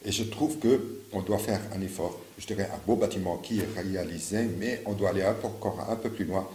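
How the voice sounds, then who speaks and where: A man's voice: deep voice, a little fast, warm tone, a little hiss or hum. A man in his fifties from Morocco, a local election candidate answering interview questions with many fillers and restarts. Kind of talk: campaign interview. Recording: echoey room with faint ambience.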